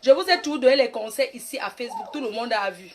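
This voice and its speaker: A woman's voice: fairly high pitch, lively intonation, fast talker, close to an everyday voice. A woman playing a brash, boastful self-styled queen mother in a rambling comic monologue. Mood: preachy. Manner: bossy, rambling, mock-grand, trash-talking. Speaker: comic online personality. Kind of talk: comic live-stream monologue.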